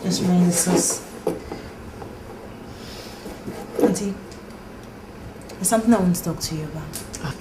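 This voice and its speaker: An actress playing a studious young woman who is guarded and sharp-tongued, with an expressive way of speaking. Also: on the low side, normal speed, a little hiss or hum, natural voice, poor audio. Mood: hesitant. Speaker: studious student. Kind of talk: film drama acting.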